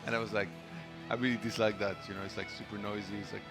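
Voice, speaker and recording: A man's voice: lowish voice, little pitch movement, quick pace. A man commentating on an esports tournament in a casual, joking, self-deprecating way. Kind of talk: casual banter during StarCraft tournament commentary. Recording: music behind the voice. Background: music.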